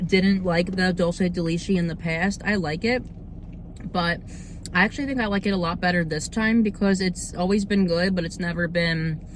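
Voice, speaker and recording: A young woman with a slightly hoarse, under-the-weather voice, chatting casually and informally as she hosts a food review vlog. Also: fairly low pitch, fairly even intonation, normal speed, a little hiss or hum, poor audio. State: slightly hoarse, getting over a cold. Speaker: host of a cookie review video series.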